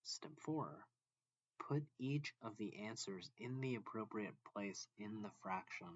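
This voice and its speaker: A man reading a math lesson aloud as a teacher, deliberately and step by step, phrase by phrase. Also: fairly low pitch, lively intonation, no room sound, highs cut off. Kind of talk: slow read-along lesson narration.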